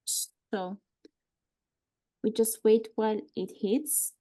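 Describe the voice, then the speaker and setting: A woman's voice: a little high, flat delivery, drawn-out words, warm tone. A woman playing a guarded, hesitant character who asks questions in a live script reading. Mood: hesitant, probing. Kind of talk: live screenplay table read acting.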